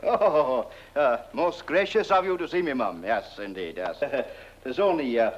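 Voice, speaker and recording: A man's voice: mid-range voice, animated, a little slow, lightly acted. A man playing a bumbling, deferential British village constable, hesitant, rambling and chatty, in comic horror film acting. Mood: fussy, apologetic. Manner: hesitant, rambling, throat-clearing, polite. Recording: dull old film soundtrack, faint hiss.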